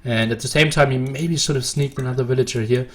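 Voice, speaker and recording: A man casting a live strategy game, calling the action play-by-play in a brisk manner. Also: mid-range voice, steady tone. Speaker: esports caster.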